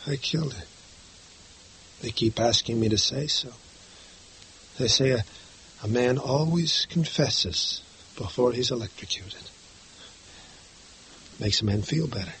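An actor playing a condemned man awaiting execution, speaking quietly and flatly in a 1950s radio drama. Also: medium pitch, a little slow, pauses often, a little hiss or hum, rough recording. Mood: numb, hollow. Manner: quiet, flat, hollow. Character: condemned young man awaiting the electric chair, numb.

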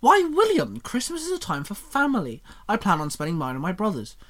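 Man doing a high, chirpy female time being, cheeky and playful, lively. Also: fairly quick, exaggerated voice. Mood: cheerful. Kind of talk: fan audio story reading.

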